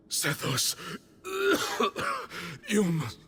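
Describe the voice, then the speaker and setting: An actor playing a sickly, grand old temple leader in a video game, weak and strained, solemn and halting, with coughs. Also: male voice, high-pitched, pushed and bright, husky, heavily acted. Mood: failing, urgent. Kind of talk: dramatic video game acting. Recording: long reverb, faint music behind.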